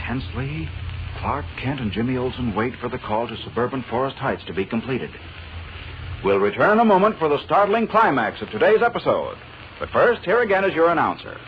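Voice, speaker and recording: An old, slightly muffled and hissy recording features a tense serial narrator. He sweeps dramatically through his pitch range to build suspense. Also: sing-song, fairly quick. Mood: tense, dramatic. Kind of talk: dramatic 1940s radio narration.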